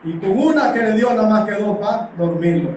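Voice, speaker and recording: A man's voice: high voice, expressive, brisk, a little mellow, muffled recording. A middle-aged man with a Panamanian accent preaching an impassioned, conversational sermon full of rhetorical tag questions. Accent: Panamanian Spanish. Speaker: church elder preaching. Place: small church hall full of congregants, indoors. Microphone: distant from camera, echoing through the room. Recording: echoey hall from far off, background noise.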